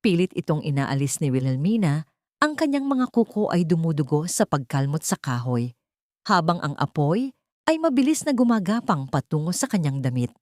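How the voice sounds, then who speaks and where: A woman's voice: low voice, very animated, moderate pace, a little mellow, no echo, clean studio sound. A woman with a Filipino accent reading a scripted story as a dramatic audiobook narrator.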